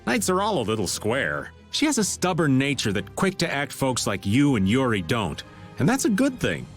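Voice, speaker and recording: Wry, animated middle-aged rogue. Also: male voice, mid-range voice, normal speed, faint music behind. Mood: wry, teasing. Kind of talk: English dub of anime role-playing game.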